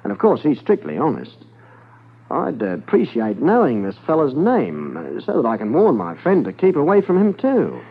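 An actor playing a smooth Australian smuggler who poses as a harmless scientist, politely probing in a 1950s radio drama. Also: male voice, mid-range voice, huge pitch swings, normal speed, full character voice. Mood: slyly probing. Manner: polite, probing, feigned casualness. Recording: muffled 1950s radio recording, noisy.

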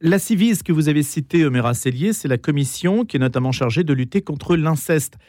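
Man, interviewer, measured questions, dry close sound. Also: a little high, lively intonation, a little mellow, dry recording.

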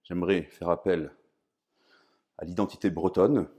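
A man from France lecturing on philosophy in a didactic, emphatic way, often pausing to search for words. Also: low voice, steady tone, a little mellow. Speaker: priest and philosophy professor giving a lecture. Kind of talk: public philosophy lecture, semi-improvised.

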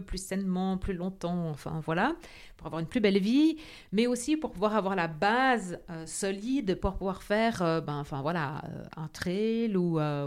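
A woman's voice: mid-range voice, darkish tone, clear, resonant tone. A woman hosting a podcast, reading a scripted intro and then speaking hesitantly with many fillers. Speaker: podcast host.

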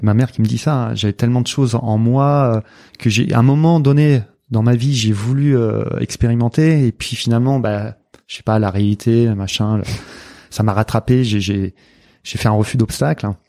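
A man's voice: medium pitch, fairly even intonation, moderate pace, dark tone, no room sound, studio quality. A middle-aged man with a Marseille accent, speaking as an interview guest and coach, hesitant with many fillers and restarts. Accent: Marseille French. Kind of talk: casual interview talk.

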